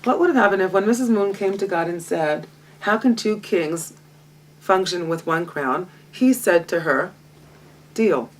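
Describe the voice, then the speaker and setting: A woman, a Hebrew speaker, teaching a Torah lesson with a lively, self-mocking manner and frequent laughter. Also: on the low side, unhurried. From Israel. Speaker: guest teacher. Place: home library. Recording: distant mic.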